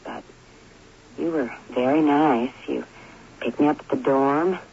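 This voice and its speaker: An actress playing a shy, self-deprecating middle-aged woman in a radio drama, hesitant, wistful and gently teasing, on an old broadcast. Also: low voice, a little slow, vocal fry, husky, muffled recording, heavily acted.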